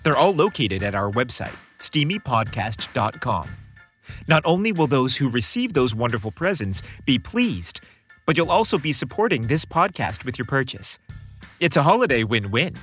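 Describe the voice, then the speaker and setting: A man's voice: mid-range voice, sing-song, normal speed, a bit bright, faint music behind. A man narrating a scripted story as a podcast host, with a theatrical, florid delivery.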